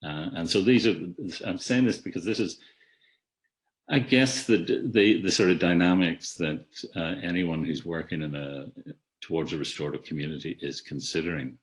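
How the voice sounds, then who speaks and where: A man with a very low, deep voice lectures on theory in a webinar, heard over a video call. He speaks in a measured, reflective way, often restarting a phrase and letting his voice drop away at the ends of thoughts. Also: steady tone. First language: not an Irish speaker. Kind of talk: academic talk.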